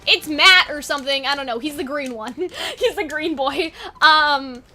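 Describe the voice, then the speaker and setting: A woman with a high, bright voice talks in a loose, casual way, as if thinking out loud for her online audience. Her delivery is chatty and informal. Faint music plays behind her. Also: lively intonation, measured pace. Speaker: online video creator and host. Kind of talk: casual fan brainstorming chat.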